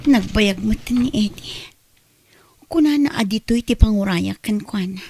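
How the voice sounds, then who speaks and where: A woman's voice: mid-range voice, unhurried, warm tone, soft background music, natural voice. A woman playing a troubled, guilt-ridden wife who longs for a child in an Ilocano radio drama. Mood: anxious.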